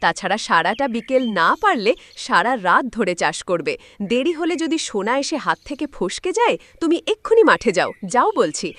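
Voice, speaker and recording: A woman's voice: on the high side, sing-song, moderate pace, fairly bright tone. A woman voicing a bossy, greedy farmer's wife in a cartoon moral story, scolding and insistent. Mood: pushy, eager. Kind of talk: animated moral story voice acting.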